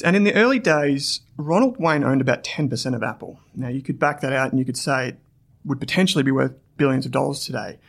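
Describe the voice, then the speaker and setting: A man's voice: medium pitch, vocal fry, breathy edge. An Australian man hosting an investing podcast, reading an ad and then telling a story to his guest in a chatty way with fillers. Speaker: podcast host. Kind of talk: podcast interview with read ad.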